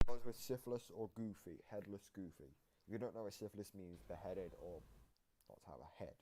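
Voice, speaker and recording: Male co-host, very low voice, casual explainer piecing ideas together, faint noise on the recording. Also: brisk. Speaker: co-host explaining game lore.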